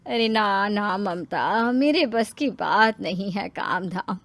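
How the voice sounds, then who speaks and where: A woman's voice: medium pitch, animated, slow pace, a bit bright, dry recording. A woman voicing an older, selfish, work-shy mother in a cartoon story, with a dismissive drawl. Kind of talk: cartoon story character acting.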